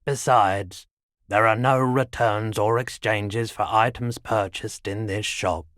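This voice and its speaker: An oddly philosophical male pawnshop keeper for a video game. His voice sits on the low side and he takes his time, stretching phrases out in a deadpan, laid-back way. The sound is dry, with no room around it. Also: no room sound. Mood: businesslike, easygoing. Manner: deadpan, unhurried, drawn-out phrasing. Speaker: shopkeeper character. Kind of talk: video game character dialogue.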